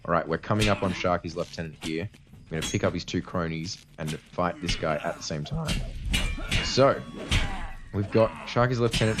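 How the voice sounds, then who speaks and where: A man giving casual, explanatory commentary as a let's play host, his voice tired and cutting out slightly from a cold. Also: deep voice. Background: game music.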